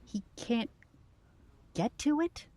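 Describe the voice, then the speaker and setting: A woman's voice: fairly high pitch, lively intonation, slow pace, dark tone, no echo. A woman playing a concerned, questioning girlfriend in a supernatural audio drama, earnest and probing. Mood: worried, probing.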